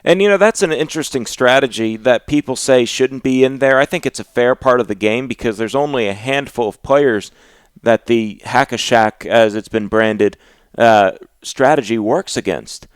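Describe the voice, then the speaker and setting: A man's voice: mid-range voice, moderate pace, smooth, dry recording. A man hosting a podcast, talking casually and conversationally about sports.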